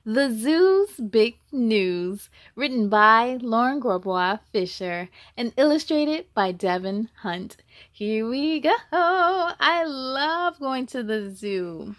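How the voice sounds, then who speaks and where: High-pitched, unhurried woman reading a children's story. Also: no echo, studio quality. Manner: animated, exclaiming, gasping and musing, asking listeners questions. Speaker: story-time host reading a picture book aloud to children. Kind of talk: expressive children's read-aloud with chatty asides.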